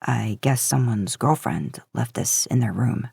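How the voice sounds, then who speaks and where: A woman voicing a laid-back, curious young male college student in a story, quiet and understated. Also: extremely low pitch, a little slow, creaky, husky, dry recording, studio quality, pitched below the performer's own voice, heavily acted. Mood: casual.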